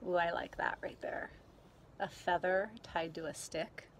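A woman reading a children's picture book aloud outdoors in a gentle, deliberate way, pausing between phrases. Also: on the low side, steady tone. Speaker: story reader. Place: outdoors, garden. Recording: clear outdoor background noise.

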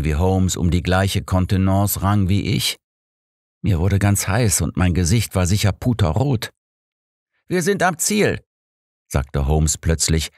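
Deep voiced guy narrating an audiobook story, really dry sound. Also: a little mellow, dry recording. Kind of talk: scripted first-person story narration.

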